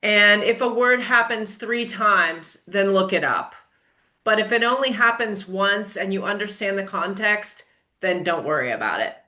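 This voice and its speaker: A woman's voice: mid-range voice, animated, measured pace, pushed and bright, roomy sound. An American woman who tutors English, giving emphatic, encouraging advice in a casual interview. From United States.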